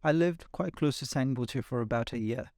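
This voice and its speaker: Male interviewer, medium pitch, conversational delivery, very dry sound. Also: normal speed, a little mellow, dry recording. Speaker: podcast host.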